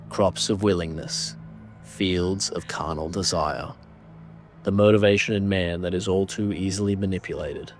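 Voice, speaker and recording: A man narrating a horror audio drama in a grave, deliberate, dramatic way, reading ornate scripted prose. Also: low voice.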